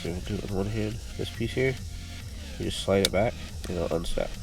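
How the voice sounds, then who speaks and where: A congested man hosting a car repair channel, giving a relaxed, casual how-to walkthrough full of fillers while working on a car. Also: sits low, a little fast, creaky, music in the background, low-quality recording. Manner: relaxed, filler-heavy explaining.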